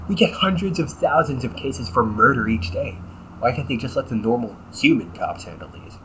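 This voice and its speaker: A man's voice: medium pitch, animated, moderate pace, a bit bright, slight background noise, close to an everyday voice, rough recording. A man playing a cynical, teasing vampire cop in an audio roleplay, talking in a slangy, sarcastic and flippant way. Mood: exasperated, sarcastic. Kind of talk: audio roleplay, casual conversational acting.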